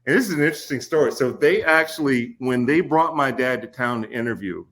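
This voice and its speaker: A man in his forties from Kentucky, a podcast guest telling stories in a relaxed way, laughing often and pausing with fillers. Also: medium pitch. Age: 40s. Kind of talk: casual storytelling conversation.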